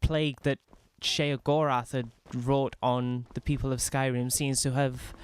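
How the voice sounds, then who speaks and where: A young Welshman with a lightly played-down Welsh accent chats as he streams a fantasy game, slipping between his character and his viewers. He rambles and restarts his sentences with self-mocking humor, at a middle pitch. Faint game music sits under him. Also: level tone, measured pace, clear, resonant tone. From Wales. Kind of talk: casual in-character role-play commentary.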